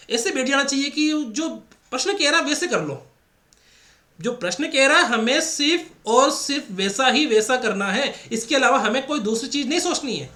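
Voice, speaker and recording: A man's voice: extremely high pitch, pushed and bright. Male math teacher, Rajasthani Hindi accent, repeats every step, quizzes students, roomy echo. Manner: repetitive, drills points with rhetorical questions to the class. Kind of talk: exam-prep math lesson. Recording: echoey room.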